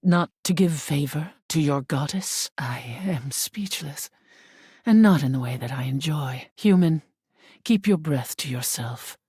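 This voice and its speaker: An actress voicing a video game goddess of air, haughty and stern, with solemn formal phrasing and a breathy voice that often sinks into whisper. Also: female voice, deep voice, lively intonation, unhurried, a little mellow, no echo, clean studio sound, exaggerated voice. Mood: wounded indignation. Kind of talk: scripted video game character acting.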